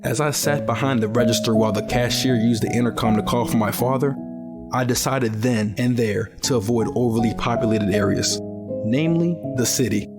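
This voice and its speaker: Jaded, low-voiced young male survivor played by a man. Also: brisk, loud music behind the voice, close to an everyday voice. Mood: reflective. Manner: weary, skeptical. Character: jaded young man, cautious post-apocalyptic survivor and narrator. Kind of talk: audio drama narration and dialogue.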